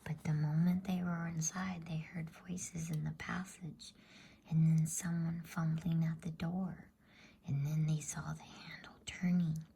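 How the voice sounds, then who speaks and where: A woman reads a bedtime story in a soft ASMR whisper. Her voice is very breathy and low, with a mellow tone. She takes her time, soothing and hushed. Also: flat delivery. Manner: soothing, hushed, drawn-out delivery.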